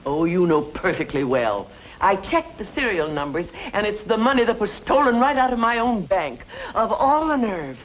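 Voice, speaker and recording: An actress plays an indignant western woman bank owner, haughty and sarcastic, her pitch swinging widely as she scolds. It sounds like a muffled old radio recording with faint noise. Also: big pitch swings, normal speed, lightly acted.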